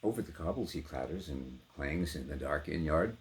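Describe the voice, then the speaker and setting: A man giving a theatrical, intense dramatic recitation of poetry, swinging between hushed delivery and full-throated cries. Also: very deep voice, animated, normal speed. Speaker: poetry performer.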